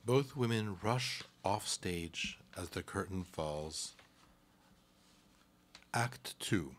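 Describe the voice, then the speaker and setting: A man with a fairly low voice serves as narrator of a radio play. He reads scripted stage directions between scenes. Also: expressive, very slow.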